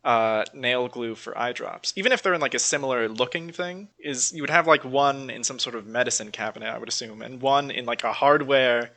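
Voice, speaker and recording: A man's voice: mid-range voice, fairly quick, few pauses, bright, forceful tone. A man co-hosting a podcast, casually bantering and reading out odd news stories in an informal, sweary way. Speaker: podcast co-host.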